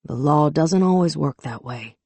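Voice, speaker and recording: A hesitant young man, quiet and a little lost, choosing his words with care, read by a woman with a low voice. Dry, close studio sound with no echo. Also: animated, moderate pace, creaky, breathy edge, heavily acted. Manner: hesitant, soft-spoken. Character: reserved, unsure young man, an outsider.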